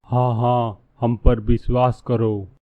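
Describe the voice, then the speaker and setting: Man acting a lecherous fake sadhu, cartoon villain, unhurried pompous delivery, thin phone-like sound. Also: mid-range voice, little pitch movement, no echo, natural voice. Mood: smoothly persuasive. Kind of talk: cartoon dialogue. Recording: narrow, phone-like muffled sound.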